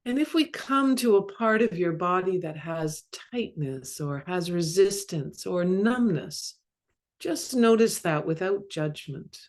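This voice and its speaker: A woman leading a guided meditation as a mindfulness coach, speaking calmly and measuredly in short phrases with long pauses. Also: fairly low pitch. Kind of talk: guided body scan meditation.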